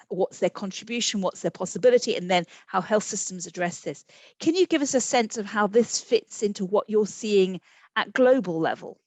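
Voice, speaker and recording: A woman moderating a conference session, setting up context and asking a guest a question in a measured way. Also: medium pitch, no echo.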